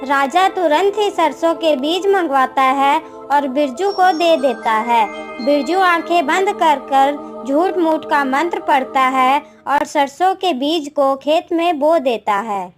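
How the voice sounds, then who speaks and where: A woman narrates a scripted moral tale as a storyteller. Her voice is high-pitched. Music plays clearly behind her narration. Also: takes it slowly, hardly any pauses. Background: background music.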